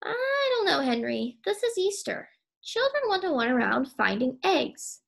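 A woman's voice: high voice, huge pitch swings, unhurried, gravelly, voice pushed up, heavily acted. A woman voicing a fretful, pleading female hare who coaxes her husband in a storybook. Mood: anxious doubt. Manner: pleading, exclaiming.

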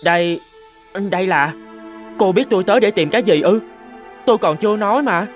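A man's voice: high-pitched, lively intonation, brisk. An actor voicing a timid, honest young servant man in a cartoon, stammering. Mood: earnest. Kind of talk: animated cartoon dubbing. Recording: music behind the voice.

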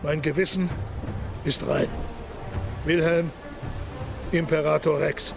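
German monarch, solemn, pompous, declamatory radio drama, slightly high creaky voice. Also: male voice, fairly even intonation, takes it slowly, over music. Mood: solemn, self-righteous. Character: German Kaiser, solemn, self-righteous, imperious monarch.

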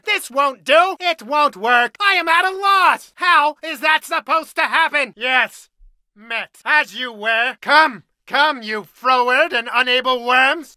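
Game role: haughty, hot-headed man-at-arms, piercing and forceful, slow pompous theatrical delivery. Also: very high-pitched, dry recording, studio quality, full character voice. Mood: exasperated, then haughty greeting. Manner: pompous, declamatory, mock-Shakespearean. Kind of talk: theatrical video game voice lines in archaic English.

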